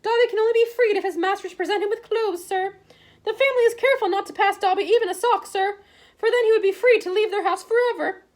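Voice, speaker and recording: A woman voicing a tiny, servile male house elf who pleads in a high, tearful whisper. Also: fast. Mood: earnest pleading.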